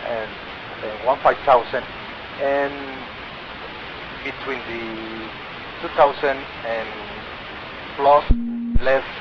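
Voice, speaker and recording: A man's voice: mid-range voice, steady tone, drawn-out words, long pauses. Guy pilot radioing in, hesitant phrasing, muffled and staticky, mid voice. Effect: radio transmission. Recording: muffled, noisy cockpit radio transmission.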